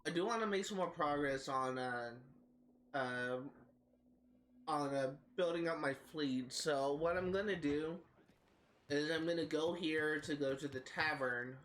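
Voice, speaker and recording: A man's voice: a little high, a little slow, fairly bright tone, slight background noise. Congested man streaming a game. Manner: rambling, with frequent uhs and coughs. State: congested head cold, scratchy throat. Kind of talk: casual gameplay commentary.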